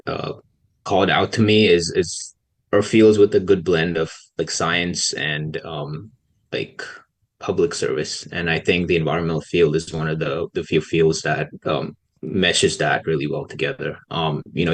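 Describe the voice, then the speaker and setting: A young man from Pakistan, a policy researcher, answering questions in a casual podcast interview in a soft-spoken, hesitant way with many pauses. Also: low-pitched, breathy edge.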